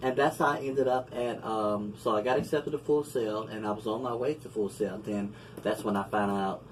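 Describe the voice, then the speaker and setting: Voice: mid-range voice, steady tone, moderate pace. An interview host asking questions in a casual, playful way and affirming the guest often. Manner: friendly, playful, lots of affirming mm-hmms. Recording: distant mic with light background noise.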